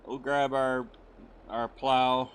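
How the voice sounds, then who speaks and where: A middle-aged man from the United States, hoarse as he recovers his voice, rambling in friendly casual commentary over a farming game. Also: mid-range voice, little pitch movement, slow, slight background noise. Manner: friendly, rambling, many fillers. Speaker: gaming channel host. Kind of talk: casual let's-play commentary.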